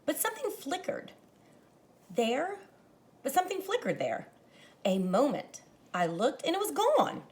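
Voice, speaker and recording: A woman teacher reading a picture book aloud to children, expressive and dramatic, speaking to them directly. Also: high-pitched, measured pace, long pauses. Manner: animated, dramatizes the story lines, addresses children directly. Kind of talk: expressive children's read-aloud with explanatory asides.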